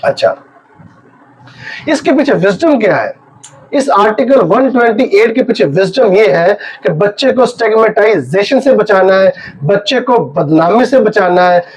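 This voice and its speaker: A man with a Pakistani accent giving an informal legal lecture as an advocate, explaining and emphatically repeating his key points. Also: high voice. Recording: dull, lo-fi muffled audio.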